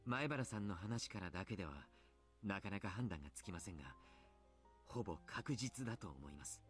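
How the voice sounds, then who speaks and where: A man playing a grave, polite doctor and coach in a Japanese visual novel, speaking deliberately. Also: deep voice, expressive, soft background music. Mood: grave, clinical.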